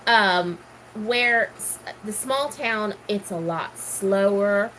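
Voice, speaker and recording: A middle-aged woman chats casually to her viewers, working through a list of questions. Her voice is bright and forward, at an ordinary pitch for a woman. She rambles, filling pauses with ums and let's sees. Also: slight background noise, rough recording. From Houston, Texas. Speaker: gardening vlogger. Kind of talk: casual chat answering questions.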